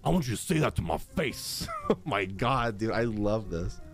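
Animated man gushing as a game streamer. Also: lowish voice, normal speed. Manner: gushing, emphatic, slangy. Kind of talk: casual reaction commentary. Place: small room with streaming setup. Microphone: close desk microphone. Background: faint game music.

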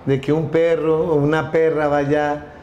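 A man's voice: on the high side, level tone, unhurried, darkish tone, highs cut off. Rambling middle-aged man in an echoey room. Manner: rambling, many restarts and repeated words. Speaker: company founder, interview guest. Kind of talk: casual storytelling interview. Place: room with wooden wolf statue, indoors. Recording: echoey room, faint background noise.

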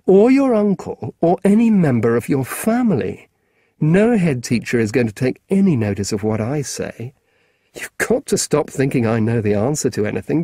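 A blunt, exasperated grown man, as a male reader puts him on, his pitch swinging widely. The voice is husky and dark. It sounds dry and close. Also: no room sound, full character voice. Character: weary, blunt adult man, impatient with a boy.

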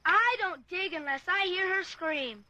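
A woman's voice: very high-pitched, a little slow, bright, forceful tone, full character voice. A woman playing a cheeky, curious young American kid in an audio drama, eager and slangy. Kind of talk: dramatic radio-play acting.

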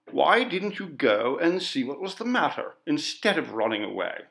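A man's voice: fairly high pitch, very animated, normal speed, gravelly, voice pushed up, exaggerated voice. A man doing a stern, pompous coroner. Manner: sharp, lecturing, indignant. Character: stern pompous male coroner questioning a witness.

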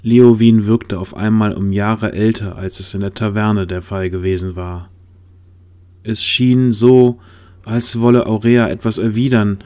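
A man narrating a scripted fantasy audio drama in a measured storytelling manner. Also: lowish voice, darkish tone, a little hiss or hum.

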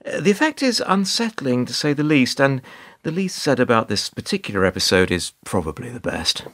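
A wry, put-upon male narrator played by an actor tells a radio play in the first person. His delivery is measured and sardonic, with a lively, swooping intonation at a middling pitch. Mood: wry, dry. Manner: dry, sardonic, measured storytelling.

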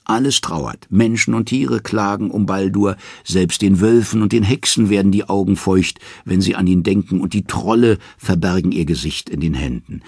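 Male saga narrator: very low, husky voice, scripted dramatic storytelling. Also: a little mellow, dry recording, clean studio sound. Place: dry studio.

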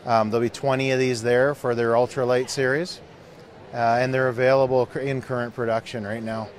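A man in his thirties explains his product in an earnest, steady way, sounding a little worn down at the end of a long trade show. His voice sits at a middle pitch, and a handheld mic is held close to him at the booth. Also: a little fast, a little hiss or hum. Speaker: canoe company owner. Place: busy indoor expo hall booth. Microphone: handheld mic held by interviewer.

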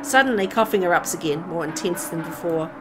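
A woman's voice: fairly low pitch, brisk, background noise. A woman reading scripted story narration from a visual novel game.

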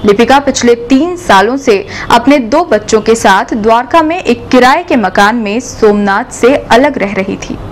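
A young woman news anchor reading scripted headlines in a formal, even newsreader delivery, recorded in a TV news studio. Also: fairly high pitch, unhurried, few pauses.

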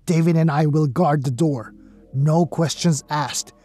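A man acting a slow, husky, self-sacrificing survivor. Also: on the high side, dark tone. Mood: solemn, resolute. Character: resolute man sacrificing himself to guard the door. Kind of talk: dramatic sci-fi audio drama acting. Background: music.

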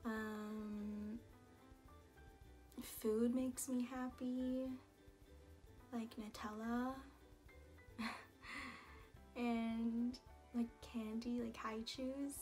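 A young woman with a slightly high voice takes her time, chatting easily and dropping in little asides as she answers questions. She sits in a small room facing the camera, with faint music underneath. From Canada. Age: young adult. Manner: chatty, relaxed, many fillers and little asides. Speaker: singer and vlogger. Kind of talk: casual Q&A vlog. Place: small indoor room against a plain wall. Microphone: camera in front at head-and-shoulders framing.

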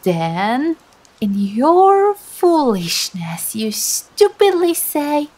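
A woman's voice: high voice, sing-song, a little mellow, full character voice. A woman playing a seductive demon who feeds on embarrassment, speaking in a teasing, theatrical way in ASMR roleplay style. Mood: gloating, relishing. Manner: teasing, theatrical, slow and drawn-out.

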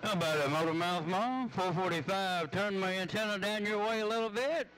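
A man's voice: high voice, a little fast. A man making folksy, repeated calls in casual CB radio slang. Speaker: CB radio operator. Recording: bright CB receiver audio with faint noise.

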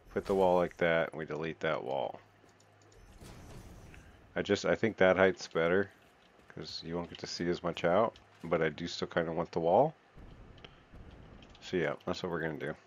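A man's voice: low-pitched, muffled recording. A man hosting a gaming channel, talking to himself casually as he builds, counting under his breath and thinking aloud. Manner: counts and mutters while building, self-talk. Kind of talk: casual let's-play commentary.

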